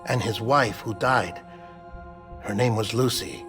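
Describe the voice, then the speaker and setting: An actor playing a calm, talkative artificial man built from cadaver parts, speaking in a measured, confiding way in a game cutscene. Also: lowish voice. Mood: sombre. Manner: measured, slow, confiding delivery. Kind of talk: video game cutscene acting. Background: game score music.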